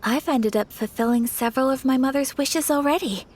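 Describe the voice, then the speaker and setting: A gentle, formal young noblewoman. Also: high voice, fairly quick. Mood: wistful. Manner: soft-spoken and polite, formal phrasing. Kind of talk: video game voice acting.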